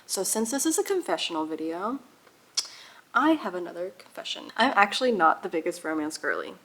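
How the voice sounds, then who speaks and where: A woman's voice: fairly low pitch, animated, brisk, fairly bright tone, slight background noise, rough recording. A young woman chatting casually to viewers as a book vlogger, with a gushing, giggly and emphatic manner. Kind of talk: casual reading vlog chat.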